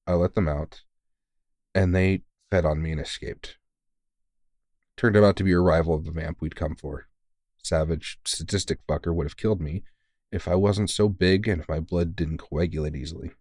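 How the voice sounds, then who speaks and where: Man acting a very deep-voiced, gruff, caring vampire hunter. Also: steady tone, normal speed, darkish tone, no room sound, studio quality. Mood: candid, wry. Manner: blunt, sweary, earnest, reassuring. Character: big, gruff but caring veteran male vampire hunter, mentoring. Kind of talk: intimate audio roleplay monologue.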